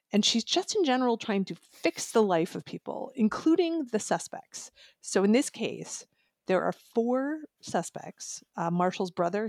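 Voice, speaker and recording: Female podcast reviewer, chatty and informal, gravelly fry, slightly low pitch, dry sound. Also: darkish tone, no room sound. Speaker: book reviewer guest. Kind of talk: casual podcast discussion.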